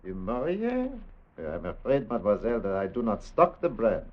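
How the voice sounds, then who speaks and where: Courteous cryptic shopkeeper spy, low voice, animated melody, formal phrasing, dull old radio recording. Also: male voice, normal speed. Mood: courteous, servile. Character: measured, cryptic tobacconist and secret informant, courteous to customers. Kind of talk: 1950s radio drama acting.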